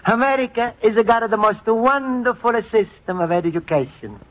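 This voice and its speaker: A man's voice: extremely high pitch, normal speed, fairly bright tone. An actor playing a naive, earnest Italian immigrant man with an Italian accent and broken English, in a 1950s radio comedy. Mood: fond. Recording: dull old radio broadcast.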